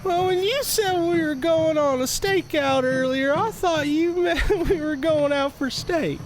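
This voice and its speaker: A woman's voice: high-pitched, measured pace, slight background noise, poor audio. A woman playing a childish, joking detective sidekick in an audio drama, with a goofy, drawling manner. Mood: joking, whining. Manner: jokey, drawling, giggly. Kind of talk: audio drama comic acting.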